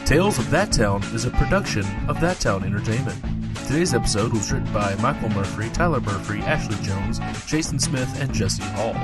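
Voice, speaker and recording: A man reading scripted show credits as an announcer. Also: mid-range voice. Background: guitar music.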